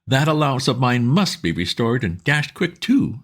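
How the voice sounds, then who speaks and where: A gushing, lovestruck young gentleman, put on by a man, pitched well above his speaker's own voice and pushing hard with every plea. His talk jumps up and down eagerly, and he sounds excitable and impatient. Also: normal speed.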